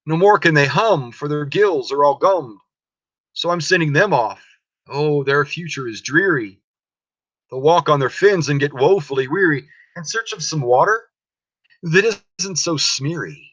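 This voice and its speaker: A man's voice: a little high, animated, normal speed, bright, forceful tone, voice pushed up. A man voicing an indignant, scolding old male forest creature in a storybook reading. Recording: dull lo-fi close recording.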